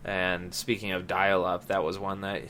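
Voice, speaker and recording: Man, late twenties, bright tone, casual podcast chat, faint noise behind. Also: low voice, monotone, normal speed. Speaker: podcast host. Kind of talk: casual chat about gaming.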